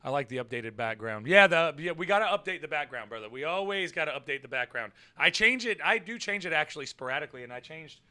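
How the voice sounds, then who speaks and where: A man chats his way through a livestream while his voice is hoarse and worn from yelling. His tone stays bright and smooth underneath, sitting a little high. He rambles, swears casually, and jumps into loud excited bursts. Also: quick pace, hardly any pauses. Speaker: livestream show host. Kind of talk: casual livestream chat with viewers.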